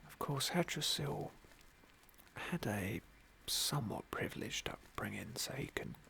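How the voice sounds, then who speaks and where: A man giving gentle, musing ASMR roleplay commentary on a game in a soft, breathy whisper. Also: mid-range voice, expressive, unhurried, a little hiss or hum, low-quality recording. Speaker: game playthrough host.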